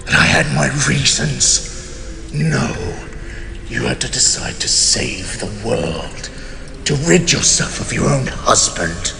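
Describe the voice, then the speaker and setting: A man playing a proud, betrayed immortal mage king in a fantasy audio drama, with theatrical, measured, imperious speech and a menacing, bitter temper. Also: mid-range voice, vocal fry, exaggerated voice. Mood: bitter, accusing. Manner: theatrical, measured, imperious, often dropping to a whisper. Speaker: voice actor. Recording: long cavernous reverb, music underneath.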